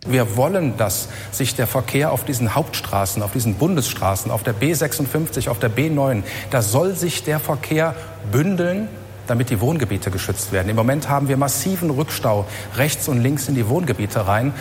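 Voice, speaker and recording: A man's voice: medium pitch, expressive, moderate pace, darkish tone. A man, a city mayor, making a firm explanatory statement in a recorded interview clip. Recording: reverberant room with light noise.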